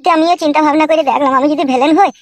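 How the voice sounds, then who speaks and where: A woman's voice: sits high, rapid-fire, lightly acted. A woman voicing a naive, chatty young village man in a comedy cartoon, speaking bluntly and colloquially. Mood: earnest.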